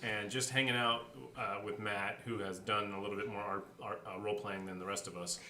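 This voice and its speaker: A man's voice: on the low side, level tone, brisk, bright, forceful tone, reverberant. A man co-hosting a podcast, chatting casually and telling anecdotes. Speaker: co-host of a behind-the-scenes podcast.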